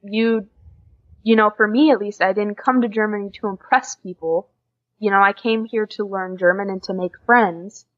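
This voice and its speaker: A woman's voice: medium pitch, fairly even intonation, moderate pace. A young American woman talking casually in an interview, giving earnest, encouraging advice. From United States. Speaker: podcast guest, exchange student. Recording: dull lo-fi podcast recording.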